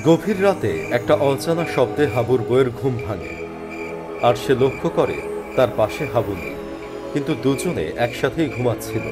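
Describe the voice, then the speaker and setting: A man narrating a scripted animated horror story in a dramatic storytelling style. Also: medium pitch, normal speed, music in the background.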